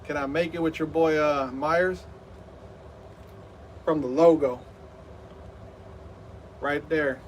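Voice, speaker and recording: A man's voice: a little high, steady tone, slow, long pauses, slight background noise. Man, thirties, game streamer, hyped play-by-play, lots of fillers, distant mic. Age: 30s. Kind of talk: live game stream commentary.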